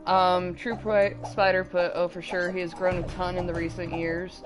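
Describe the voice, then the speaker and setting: A woman's voice: fairly low pitch, flat delivery, moderate pace, poor audio. A woman hosting a gaming stream in a casual chatty style, moderating and reading out viewer comments. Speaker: gaming show host. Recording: music behind the voice.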